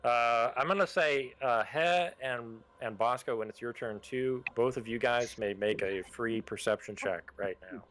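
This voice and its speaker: A man running a tabletop game as its game master. He talks loosely and haltingly, with plenty of fillers and restarts as he describes the scene. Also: mid-range voice, fairly bright tone.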